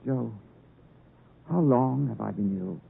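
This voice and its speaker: An actor playing an earnest, passionate young English gentleman who narrates his story in a theatrical 1950s radio drama style. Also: medium pitch, takes it slowly, vocal fry, husky, heavily acted. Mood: weak, moved. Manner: theatrical, emotive. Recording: vintage 1950s radio, tinny, music behind.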